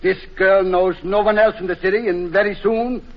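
Niemiecki szpieg o wysokim głosie, stare radio. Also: male voice, high-pitched, fairly even intonation, moderate pace. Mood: smug, menacing. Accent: German. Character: smug, menacing German Nazi spy ringleader. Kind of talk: 1940s radio acting. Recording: muffled, hissy 1940s radio recording.

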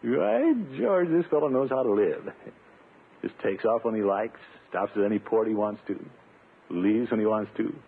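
An actor playing a playful, grumbling middle-aged bachelor uncle in a blustery 1950s radio sitcom style. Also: male voice, fairly low pitch, lively intonation, moderate pace, gravelly, breathy edge, full character voice. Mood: grumbling, restless. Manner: blustery, wheedling, theatrical grumbling. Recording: muffled, narrow-band 1950s radio recording, hiss.

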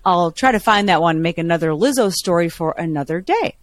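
A woman with a low voice co-hosting a podcast, telling stories in a lively, chatty way. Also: a little fast, no echo. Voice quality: lower voice. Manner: chatty, animated storytelling. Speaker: podcast co-host. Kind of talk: casual chat.

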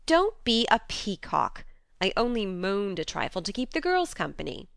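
A woman plays a blunt, tomboyish young woman from a storybook, in a smooth, clear voice with very dry sound. She is hearty and earnest as she admonishes. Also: medium pitch, big pitch swings, moderate pace, no room sound. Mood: sharp. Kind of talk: audiobook character dialogue.

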